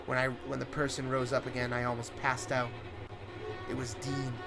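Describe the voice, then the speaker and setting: A man's voice: medium pitch, completely flat delivery, moderate pace, vocal fry. A man reading a scripted first-person horror story in a suspenseful, theatrical way. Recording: prominent music under the voice. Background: music.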